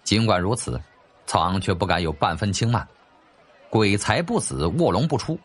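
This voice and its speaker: 一位男演播者用戏剧化又略带调侃的口吻讲述历史小说有声书。. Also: male voice, on the low side, big pitch swings, normal speed, slightly rough. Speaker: audiobook narrator. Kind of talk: dramatic storytelling narration of a historical novel. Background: faint background music.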